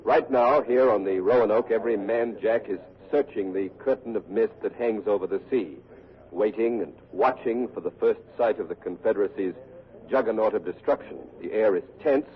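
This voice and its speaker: An actor playing a grave, earnest war correspondent in a 1940s radio drama, speaking in measured, solemn, courteous phrases. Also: male voice, fairly low pitch, lightly acted. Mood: grave, tense. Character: grave, earnest war correspondent reporting live aboard a frigate. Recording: muffled old 1940s radio recording.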